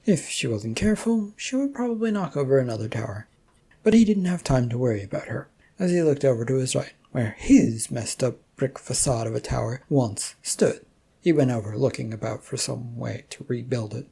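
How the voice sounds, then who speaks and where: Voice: medium pitch, lively intonation, normal speed, vocal fry, warm tone, breathy edge. A storyteller reading scripted fiction narration.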